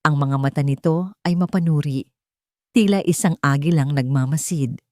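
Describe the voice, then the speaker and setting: A woman narrating a scripted dramatic romance story in measured, deliberate phrases with short pauses. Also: low voice, warm tone, dry recording, clean studio sound.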